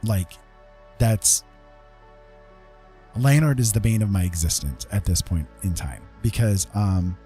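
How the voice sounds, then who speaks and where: A man with a husky voice chatting casually as he paints on a live stream, rambling and self-deprecating, often checking that listeners follow. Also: on the low side, unhurried, long pauses, mellow, soft background music. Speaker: artist and writer hosting a live stream.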